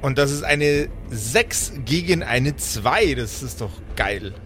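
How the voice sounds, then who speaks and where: A man's voice: mid-range voice, lively intonation, takes it slowly, fairly bright tone, faint music behind. A man playing a tabletop role-playing game, chatting casually with crude, self-deprecating humour.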